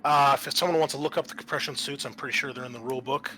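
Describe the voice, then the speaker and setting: Guy gm-ing a tabletop game, bright voice, stops n starts thinking out loud, bit of background noise. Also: medium pitch, fairly even intonation, rough recording. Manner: halting, thinking aloud. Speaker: game master. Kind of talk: casual tabletop game narration.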